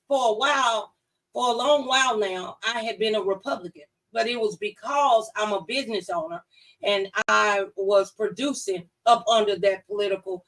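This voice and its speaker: A woman with a mid-range voice hosts a live call-in talk show, sounding somewhat distant from the microphone. She speaks conversationally, as someone addressing both guest and audience. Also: medium pitch.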